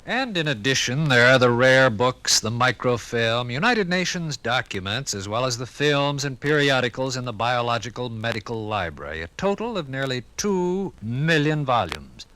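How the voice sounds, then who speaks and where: A 1950s radio recording of an American man presenting formally from a script. His medium-pitched voice is bright and lively, with clearly varied intonation. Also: normal speed, few pauses, no room sound. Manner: formal, enumerating.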